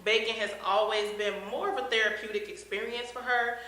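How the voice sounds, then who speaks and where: A bright-voiced woman hosts a casual interview. She sounds upbeat, laughs easily and fills her pauses with "um" and "uh." The room adds a noticeable echo. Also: fairly high pitch, fairly even intonation. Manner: upbeat, laughs often, many fillers.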